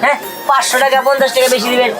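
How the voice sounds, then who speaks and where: A woman's voice: high voice, little pitch movement, very fast, natural voice. A woman playing a blunt, argumentative, sarcastic shop assistant in a comic short drama, with a Bangladeshi accent. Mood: annoyed, dismissive. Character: quarrelsome, blunt shop assistant, haggling and blaming others. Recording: loud music behind the dialogue.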